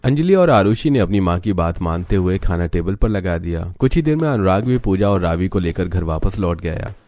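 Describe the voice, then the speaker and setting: A man's voice: deep voice, expressive, moderate pace, darkish tone, a little hiss or hum. A man narrating a scripted family story for a cartoon, as a storyteller.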